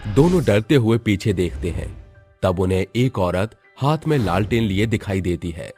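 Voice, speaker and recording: A man's voice: lowish voice, expressive, moderate pace, darkish tone, faint music behind. A man narrating a cartoon horror story in a scripted storytelling manner.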